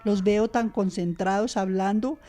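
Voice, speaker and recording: A woman's voice: medium pitch, fairly even intonation, measured pace, dark tone, close to an everyday voice. A woman with a Colombian accent playing a polite, caring woman serving a family meal in an amateur radio play. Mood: polite, inviting.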